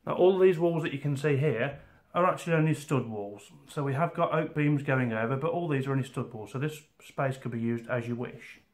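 A man in his forties, an estate agent, walking through a house and pointing out rooms in a steady, informative way. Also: medium pitch. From England. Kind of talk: property walkthrough tour.

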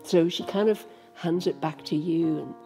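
A woman's voice: fairly low pitch, normal speed, dark tone, soft background music. An older woman, a writer, talking in a conversational storytelling way in a panel discussion. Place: book-lined library room, seated. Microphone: microphone on a stand.

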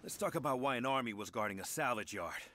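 An actor playing a serious, level-headed rogue cop who talks tersely in a video game. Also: male voice, medium pitch, animated, fast talker, sharp tone, a little hiss or hum. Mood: firm. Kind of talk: video game cutscene acting.

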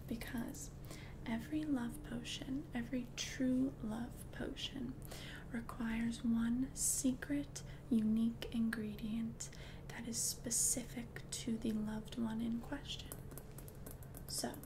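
A woman plays a kindly witch minding her magic shop in an ASMR roleplay. The voice is hushed, lightly high and very unhurried, soothing and helpful, with clear noise in the background. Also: little pitch movement. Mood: gentle, attentive. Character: gentle, helpful witch shopkeeper selling love potions. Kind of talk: soft-spoken ASMR roleplay.

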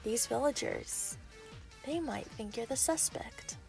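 A woman playing a possessive, mocking yandere werewolf in an ASMR roleplay, taunting and gloating with drawn-out threats. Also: fairly high pitch, vocal fry, darkish tone, soft background music. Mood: gloating menace. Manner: taunting, drawn-out, laughing delivery with whispered threats. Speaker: roleplay voice actor. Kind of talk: scripted ASMR roleplay acting.

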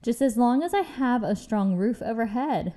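A woman's voice: fairly high pitch, expressive, normal speed, mellow, natural voice. A woman voicing a carefree little female mouse in a storybook, with a light sing-song delivery. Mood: pleased. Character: cheerful, carefree little female mouse who loves nibbling.

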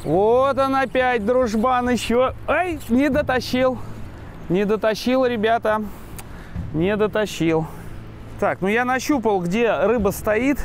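High-voiced excited fishing show host. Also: male voice, slow, a little hiss or hum. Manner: lively, exclaiming, chatty. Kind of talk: excited outdoor chat while fishing. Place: rocky riverbank by a dam, outdoors.

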